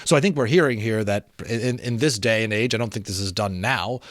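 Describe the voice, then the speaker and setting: A man narrating a storybook dramatically, with an expressive, theatrical manner. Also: on the low side, brisk, no room sound, clean studio sound. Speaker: amateur audiobook reader.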